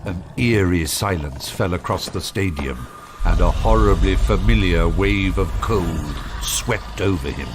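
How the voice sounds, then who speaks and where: A British man reading scripted storybook narration for a fantasy game in a measured, dramatic manner, as its narrator. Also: low-pitched, background noise. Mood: dramatic storytelling. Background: music.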